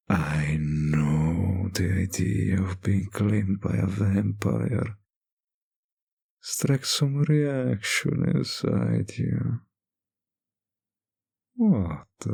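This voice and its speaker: Man acting as a slow, gravelly, seductive male vampire. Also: darkish tone, heavily acted. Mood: teasing. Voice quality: low, gravelly, husky voice. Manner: slow, lingering, teasing delivery with pauses. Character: teasing, possessive male vampire bartender, seductive and confident. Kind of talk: intimate roleplay audio addressed to the listener.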